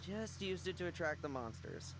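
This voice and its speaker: A man's voice: high-pitched, lively intonation, normal speed. A man voicing a cocky, wry young knight in an anime game, with a teasing, theatrical manner. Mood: groggy, flippant. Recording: noisy game ambience behind the voice.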